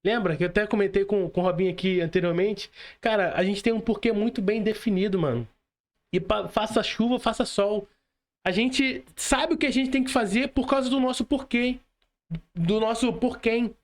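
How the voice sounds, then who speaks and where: A man's voice: high voice, moderate pace. A young Brazilian man in motivational podcast chat, emphatic and slangy, speaking straight to the listener.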